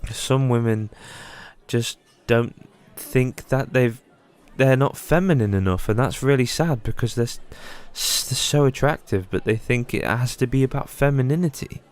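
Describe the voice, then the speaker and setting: Smooth-voiced, sweary, casual man. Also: mid-range voice, normal speed. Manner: candid, rambling, sweary. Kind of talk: casual one-sided monologue to a friend.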